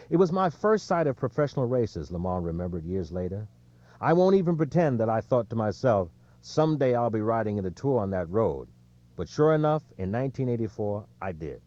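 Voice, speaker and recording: A man reading a nonfiction sports audiobook in a measured storytelling way, with emphasis on the quotes. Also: on the low side, dark tone, dull audio. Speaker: audiobook narrator. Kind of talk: scripted nonfiction narration of a sports book.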